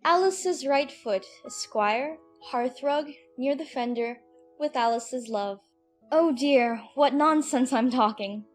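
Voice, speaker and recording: A woman's voice: high voice, slow, soft background music. A woman playing a curious, chattering young girl who muses aloud to herself in a dramatized audiobook. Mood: fretful, musing. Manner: talking to herself, musing aloud. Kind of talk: dramatized audiobook character acting.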